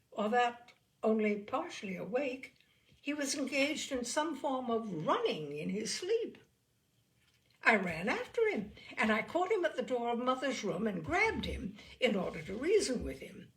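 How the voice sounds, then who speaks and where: Woman reading a story out loud, slow and expressive, bit of gravelly fry. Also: a little high. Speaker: storyteller, reader. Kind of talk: expressive literary narration.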